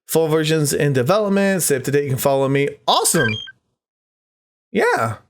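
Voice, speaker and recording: Animated, higher-voiced man playing games. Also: normal speed, noisy background. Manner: reactive, interjecting, talking to viewers. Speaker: gaming YouTuber host. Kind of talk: casual let's-play commentary, reading game text aloud.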